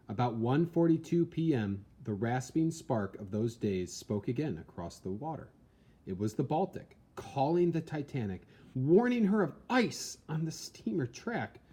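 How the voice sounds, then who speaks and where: A man reading a historical account as dramatic scripted narration, in a measured, theatrical storytelling manner. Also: medium pitch, mellow, breathy edge. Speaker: reader, narrator.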